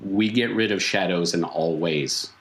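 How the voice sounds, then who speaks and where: A man playing a cryptic, stern clown mentor in a tabletop game, speaking solemnly in emphatic, measured statements. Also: deep voice, fairly bright tone. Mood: solemn, instructing.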